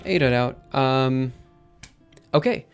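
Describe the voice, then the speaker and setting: A man live-streaming his coding session, thinking aloud in an upbeat, chatty way while typing, his voice a little raspy. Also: mid-range voice, slow, faint music behind. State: slightly raspy after a drink. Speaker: programming livestreamer. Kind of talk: live coding stream, casual talk-through.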